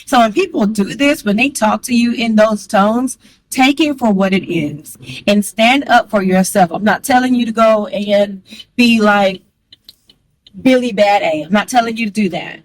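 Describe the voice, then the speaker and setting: A woman talking fast and candidly to the camera, chatty and frank, with a strong voice. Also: mid-range voice, no echo, low-quality recording. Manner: chatty, candid, calls listener girl; fast talker. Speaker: vlogger. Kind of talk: casual talk to camera.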